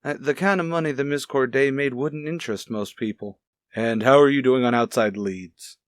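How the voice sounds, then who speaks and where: An actor plays a male police captain who pushes the detectives hard. The voice sits at a middle pitch in a dry recording. He is firm, clipped and commanding, in radio crime drama style. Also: moderate pace, smooth, no room sound, close to an everyday voice. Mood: questioning. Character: gruffly demanding male police captain pushing his detectives.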